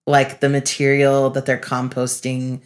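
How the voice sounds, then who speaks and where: Very low-voiced, earnest woman. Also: monotone, a little slow. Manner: earnest, introspective. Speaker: podcast co-host, facilitator and speaker. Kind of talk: casual reflective podcast chat.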